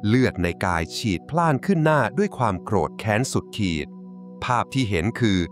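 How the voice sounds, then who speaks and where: A man narrating a folk tale from a script in a dramatic, suspenseful, expressive way. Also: mid-range voice, brisk. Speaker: storyteller. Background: music.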